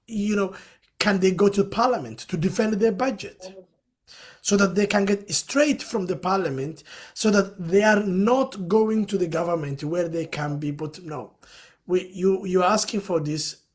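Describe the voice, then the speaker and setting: A man's voice: high voice, measured pace, a little mellow. A man from Somalia, speaking as a panelist in a webinar discussion. He explains his points earnestly and fills his sentences with "you know".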